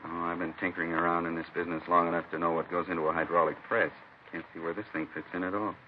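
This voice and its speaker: An actor playing a plainspoken, wary old-hand machinist in a 1950s radio drama, with a folksy, clipped delivery. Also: male voice, low voice, flat delivery, very fast, close to an everyday voice. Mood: wary, musing. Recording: muffled, noisy old radio broadcast.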